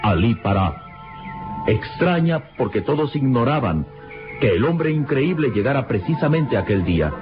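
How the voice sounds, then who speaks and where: A man's voice: medium pitch, very animated, moderate pace. A man narrating an old radio serial in a dramatic, scripted style. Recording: dull old radio recording, music behind.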